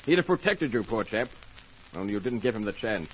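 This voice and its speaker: An actor playing a shrewd, authoritative inspector, speaking deliberately and pointedly in an old-time radio mystery. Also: male voice, lowish voice, very animated, breathy edge. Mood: accusing. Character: shrewd, authoritative police inspector, methodical accuser. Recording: muffled, lo-fi old radio recording with hiss.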